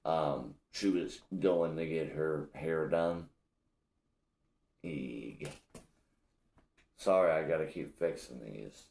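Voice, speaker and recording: A man's voice: very deep voice, slow pace, vocal fry, pauses often, a little mellow, echoey room. A young man from Illinois hosting a solo podcast, ranting bluntly with lots of profanity. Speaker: podcast host. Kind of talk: casual solo podcast rant.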